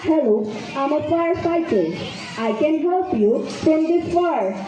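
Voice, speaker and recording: A woman's voice: high-pitched, lively intonation, measured pace. A female student performer reciting simple scripted puppet-show dialogue in a rehearsed way. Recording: muffled, very echoey, noisy room with chatter.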